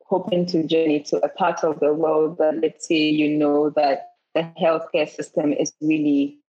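A woman from Ghana with a lowish, husky voice tells travel stories as a podcast guest. She gets animated, acts out the people she quotes and restarts her sentences often. The audio sounds dull and lo-fi. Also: moderate pace. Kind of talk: storytelling in a podcast interview.

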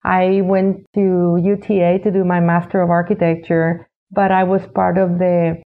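An older woman from Puerto Rico tells her story as a guest in an unhurried way, often stopping for a "you know." Her voice is low with a gravelly edge. It comes through a thin, phone-like line. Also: flat delivery. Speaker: architect and executive director, guest. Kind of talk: interview answers, reflective storytelling.

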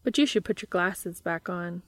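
A woman's voice: lowish voice, moderate pace, dry recording, lightly acted. A woman narrator voicing a frank, reassuring female bookshop coworker in a romance audiobook, teasing and matter-of-fact. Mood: encouraging.

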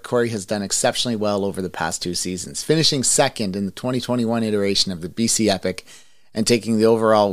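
Male podcast host, thirties, lower-pitched voice, close dry recording. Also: no room sound. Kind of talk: podcast talk and interview.

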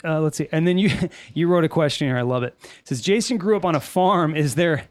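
A man's voice: a little high, fairly quick. A man from California with an American accent, hosting a casual podcast chat in a jokey, foul-mouthed way. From California, United States. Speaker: podcast host.

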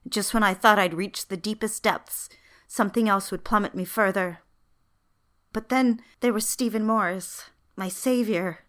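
A woman's voice: medium pitch, fairly even intonation, a little slow, a bit bright, lightly acted. A woman playing a yearning secretary, confiding her diary in scripted first-person narration. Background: music.

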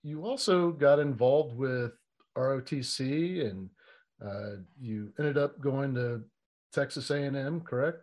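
A man's voice: mid-range voice, unhurried, darkish tone. A man hosting a podcast interview, introducing his guest enthusiastically and casually. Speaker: podcast host.

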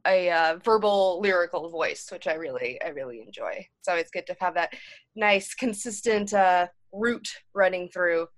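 A woman interviewing a guest, often pausing and rephrasing as she searches for words. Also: fairly low pitch. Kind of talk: conversational interview.

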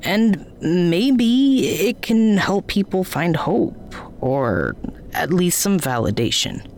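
Casting: bashful, idealistic writer, naturalistic audio drama, self-effacing, slow, animated intonation. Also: mid-range voice, a little hiss or hum. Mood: hopeful. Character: bashful, idealistic amateur writer, casual and affectionate.

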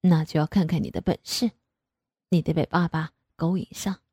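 An indulgent, coaxing middle-aged father speaks in a lowered, husky voice with a dark, mellow tone. A woman acts him out in a storybook reading, recorded close and dry. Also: steady tone, normal speed, no room sound.

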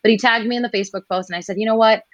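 A woman in her twenties, a singer guest telling her story in a relaxed interview, animated and acting out other people's lines as she goes. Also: on the high side, rapid-fire, no room sound, dull audio. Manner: animated, quoting others in lively voices.

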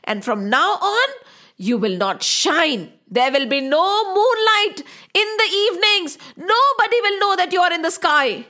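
A proud, big-bellied young elephant god from a children's tale, voiced by a woman. The voice is high and lively, with emphatic, moralizing delivery and a touchy temper. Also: measured pace, full character voice. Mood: upset, scolding. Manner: emphatic, moralizing, rhetorical questions.

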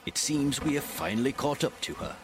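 An actor playing a stern, commanding naval captain in a seafaring audio drama, formal and curt. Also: male voice, medium pitch, a little fast, creaky, noisy background, close to an everyday voice. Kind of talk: period seafaring radio drama acting.

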